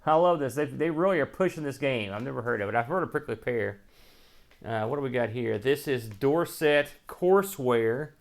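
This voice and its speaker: A man's voice: medium pitch, moderate pace, creaky. An American man hosting a casual unboxing, rambling and thinking aloud as he handles each item. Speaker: host of a retro computing show.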